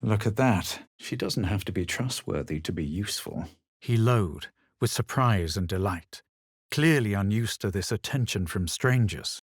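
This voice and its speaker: A man playing a self-assured, superior fantasy adventurer, delivering dramatic video game narration and dialogue in a measured, deliberate way. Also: on the low side, lively intonation, breathy edge, no room sound, studio quality, heavily acted. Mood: cool, dry. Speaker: player character voice actor in a fantasy video game.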